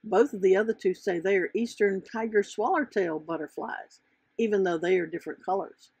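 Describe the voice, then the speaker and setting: A woman's voice: medium pitch, normal speed, a little mellow, breathy edge, dry recording. A woman narrating a children's storybook as its author, reading from the script.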